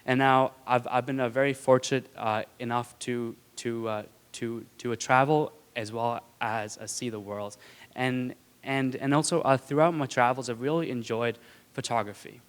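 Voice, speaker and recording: A man's voice: medium pitch, steady tone, moderate pace, clean tone. Young man who stutters, on stage. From India. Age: 20s. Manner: stutters, with repeated words and syllables; earnest, lightly joking with the audience. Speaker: student speaker. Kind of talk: prepared stage talk. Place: auditorium stage. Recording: long hall reverb.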